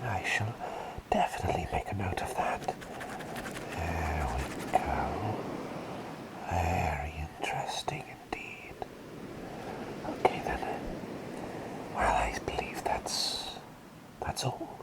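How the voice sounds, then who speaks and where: A man playing an eccentric, scatterbrained but gentle plague doctor in a soft, soothing, rambling whisper for an ASMR roleplay. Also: deep voice, expressive, slow pace, long pauses, poor audio. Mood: curious, soothing. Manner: rambling, soothing, softly repeating words. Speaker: ASMR performer.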